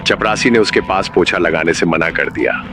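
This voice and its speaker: A man narrating a scripted horror story in a measured, ominous manner. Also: sits low, music in the background.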